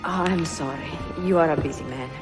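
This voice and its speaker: An actress playing a cultured, gracious and gently playful Italian woman in a video game, with an Italian accent. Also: deep voice, brisk, lightly acted. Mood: gracious, conversational. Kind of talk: cinematic video game acting. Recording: music behind the dialogue.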